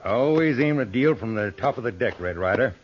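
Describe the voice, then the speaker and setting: An actor playing a feisty, stubborn older cattle rancher in an old-time radio western. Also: male voice, mid-range voice, lively intonation, brisk, lightly acted. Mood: gruffly stubborn. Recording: noisy, muffled old radio recording.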